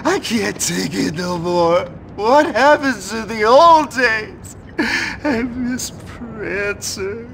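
A man's voice: high voice, expressive, drawn-out words, creaky, fairly bright tone, a little hiss or hum, full character voice. A man playing a drunken, self-pitying street Santa in a video game, whining and rambling. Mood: whiny, aggrieved. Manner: whiny, rambling, maudlin. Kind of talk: video game cutscene acting.

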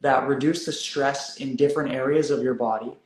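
A man in his thirties hosting a live wellness stream, calm and gently guiding, with slow deliberate phrasing. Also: medium pitch, roomy sound, poor audio. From South Africa, raised in Canada. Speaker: wellness host. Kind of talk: live-stream coaching chat. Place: room indoors.

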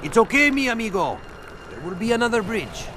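An actor voicing an upbeat, reassuring little penguin sidekick. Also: male voice, sits high, big pitch swings, fairly quick, background noise.

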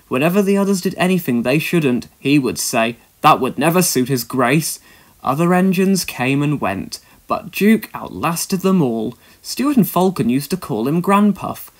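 A man narrates a children's storybook in an expressive read-aloud manner. His voice sits slightly high and moves widely in pitch as he tells the tale.

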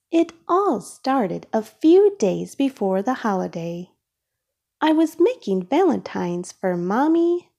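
Slow, very animated woman reading a storybook. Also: medium pitch, vocal fry, breathy edge. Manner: slow, careful, expressive delivery for young children. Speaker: children's storybook narrator. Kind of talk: read-aloud storybook narration.

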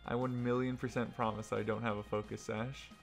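A man's voice: mid-range voice, level tone, brisk, vocal fry, faint music behind. A man hosting casual game commentary with joking, teasing banter. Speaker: gaming show host.

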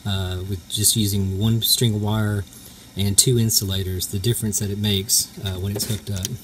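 A middle-aged man giving a casual hands-on demonstration in a halting, hesitant way, recorded on a handheld camera. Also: fairly low pitch, flat delivery, a little hiss or hum. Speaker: homestead vlogger. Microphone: handheld camera, speaker behind it.

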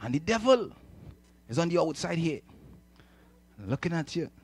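A man's voice: fairly high pitch, big pitch swings, moderate pace, breathy edge. A man with a Trinidadian accent preaching emphatically in a sermon style, repeating phrases and prompting his listeners to agree, speaking into a handheld microphone. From Trinidad and Tobago. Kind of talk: animated sermon with audience call-and-response. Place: church hall, speaking from the stage.

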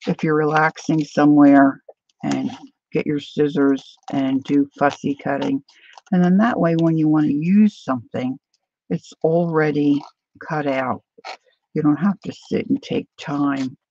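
A woman hosting a casual crafting live stream, chatting softly and unhurriedly with viewers while she cuts paper at her craft table. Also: sits low, creaky, dry recording, highs cut off. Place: indoor craft table, overhead camera.

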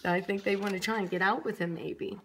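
A woman's voice: medium pitch, fairly even intonation, brisk, fairly bright tone, a little hiss or hum. A woman nursery teacher reading a picture book aloud expressively, with chatty asides to young children. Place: classroom, indoors.

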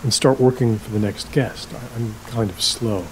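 An actor playing a stubborn, halting older man, a reclusive rental host, in an audio drama. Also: mid-range voice, steady tone, slight background noise, natural voice, low-quality recording. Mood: fussy, stubborn. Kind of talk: naturalistic audio drama acting.

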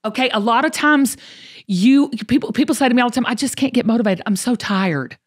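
Southern lady podcast host, voice a bit high, folksy pep talk, really hammers her points. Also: a little fast, breathy edge. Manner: emphatic, folksy, repeats phrases for emphasis. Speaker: show host.

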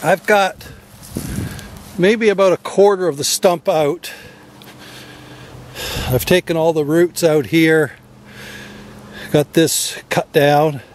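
A middle-aged man talks through a job step by step in a plain, casual way, his voice sitting a little high. He is recorded outdoors on a camera mic with a faint hiss of background noise. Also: steady tone, slow, long pauses. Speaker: DIY video host. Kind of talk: casual how-to narration. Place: outdoors in wooded yard.

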